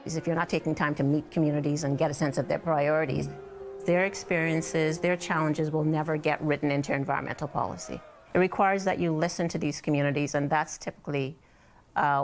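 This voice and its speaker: A woman giving measured, articulate explanations as a documentary interviewee. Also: low voice, fairly even intonation. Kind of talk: documentary interview commentary on environmental racism. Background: instrumental music.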